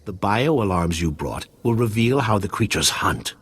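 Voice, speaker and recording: Casting: male actor, low-voiced game scientist, bookish and awkward, very varied intonation. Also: lively intonation, moderate pace, slight background noise, close to an everyday voice. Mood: earnest, determined. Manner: stiff, bookish, formal phrasing. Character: earnest, socially awkward male research scientist. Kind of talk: video game acting.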